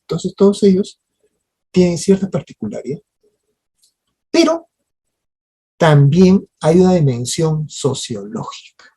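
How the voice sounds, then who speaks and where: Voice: medium pitch, sing-song, measured pace, pauses often, warm tone, slightly rough. A lecturer teaching a workshop, appealing to listeners directly with tag questions and often restarting mid-phrase. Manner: hesitant restarts and repeated words. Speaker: lecturer at a parliamentary training workshop. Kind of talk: spoken lecture to an audience.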